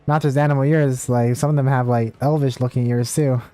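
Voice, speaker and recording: A man commentates casually on a game he is streaming. His voice is relaxed and mellow at a middle pitch, as he talks to his chat over background music. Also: a little fast, dry recording. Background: video game music.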